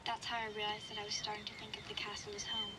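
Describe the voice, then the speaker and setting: A young girl survivor played by a woman records her diary in a game audio log. Her delivery is even and restrained, measured and reflective, with a wary matter-of-fact tone. Clear ambient noise sits behind the voice. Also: medium pitch, natural voice. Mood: somber, reflective. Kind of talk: first-person audio log narration.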